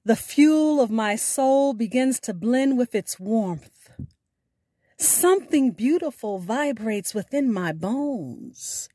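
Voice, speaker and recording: High-pitched woman podcast host, slow and deliberate. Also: animated, mellow, no room sound, clean studio sound. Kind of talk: scripted podcast sign-off and announcements.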